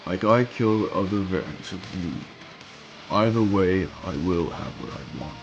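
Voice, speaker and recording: A man voicing a ruthless, arrogant conqueror villain in an amateur dramatic reading, gravelly and full of grandiose threats. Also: sits low, animated, measured pace, muffled recording, background noise, heavily acted. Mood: cold menace. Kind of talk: amateur dramatic reading of comic fan fiction. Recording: music under the voice.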